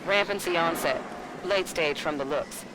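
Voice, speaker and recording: An actor playing a sharp, matter-of-fact super-soldier teammate in a video game, with a dry, clipped delivery. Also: male voice, a little high, normal speed, background noise, lightly acted. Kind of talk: video game cutscene acting.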